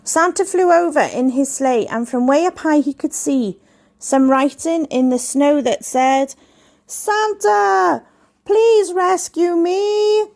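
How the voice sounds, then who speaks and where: A woman reading a rhyming story aloud to children in a measured, expressive way. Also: high-pitched. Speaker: school staff member reading a story.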